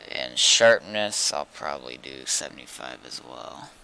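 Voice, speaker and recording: A man's voice: rumbling low, expressive, a little slow, vocal fry, bright, forceful tone, clear, resonant tone, rough recording. A man chatting casually and muttering to himself as he plays a game on stream. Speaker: streamer playing a zombie survival game. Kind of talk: casual gaming chat.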